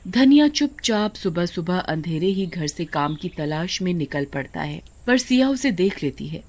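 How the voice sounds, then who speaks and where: A woman's voice: fairly low pitch, a little mellow. A woman narrating a scripted moral story as its storyteller.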